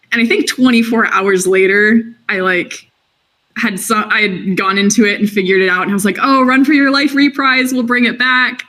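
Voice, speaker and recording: Quick-talking woman explaining songwriting. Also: mid-range voice, a bit bright. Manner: quick, explanatory, hums a few sung lines. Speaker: songwriter of a fan musical. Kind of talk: casual livestream Q&A chat.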